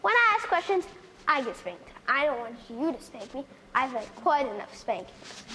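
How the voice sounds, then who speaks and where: A woman's voice: extremely high pitch, lively intonation, fairly quick. A woman voicing an eager, endlessly curious young male elephant in a stage play. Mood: timid. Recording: reverberant hall, faint noise.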